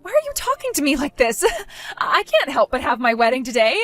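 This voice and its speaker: A woman's voice: high voice, huge pitch swings, brisk, fairly bright tone, soft background music, full character voice. A woman playing a self-centered young bride who whines and pleads with her sister, in a scripted drama. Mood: self-absorbed.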